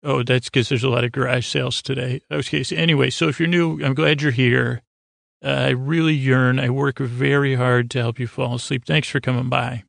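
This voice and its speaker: A man with a creaky voice hosting a bedtime podcast in a soothing, meandering, hesitant monologue full of stammers and tangents. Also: mid-range voice, steady tone, brisk, no echo, clean studio sound. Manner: soothing, lulling delivery. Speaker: podcast host.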